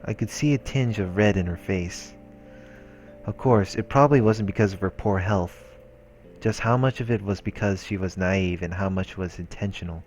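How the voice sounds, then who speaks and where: A man's voice: low voice, moderate pace, a little mellow. A man doing a let's play, reading visual novel narration aloud in a casual, informal way, stumbling now and then and adding his own comments. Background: background music from the game.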